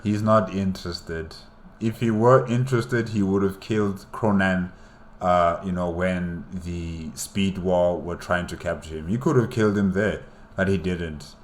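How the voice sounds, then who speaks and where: Low-pitched man giving casual commentary. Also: steady tone, a little hiss or hum. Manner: halting, thinking aloud. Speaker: reaction video host.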